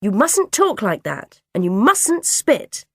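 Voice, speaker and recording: A woman's voice: huge pitch swings, slow pace, vocal fry, breathy edge, no room sound, clean studio sound, exaggerated voice. A woman reading an audiobook, voicing a stern, self-righteous dinner lady who scolds children. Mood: scolding. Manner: shrill, bossy, self-righteous.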